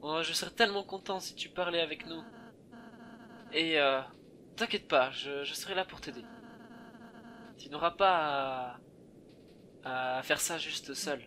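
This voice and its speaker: A man's voice: fairly high pitch, unhurried, pauses often, sharp tone, a little hiss or hum. A man voicing a shy, kind, eager young fantasy game companion, hesitant and stammering. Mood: hopeful, earnest.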